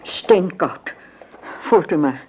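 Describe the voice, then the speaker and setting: An actress playing a stern, elderly matriarch in a radio play, grave, curt and world-weary. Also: female voice, fairly low pitch, lively intonation, very slow, a little mellow, lo-fi sound, a little hiss or hum. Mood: grave, bitter.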